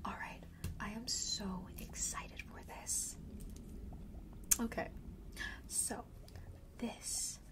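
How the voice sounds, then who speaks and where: A woman speaking in a breathy, mostly unvoiced whisper, playing a chatty, coaxing shopkeeper in a casual ASMR roleplay. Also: on the high side, fairly even intonation, very slow, pauses often. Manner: coaxing, chatty, checks in often with the listener. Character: witchy perfume shop seller, friendly and persuasive. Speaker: ASMR performer playing a fragrance shop keeper. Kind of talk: whispered ASMR roleplay, casual sales talk to one customer.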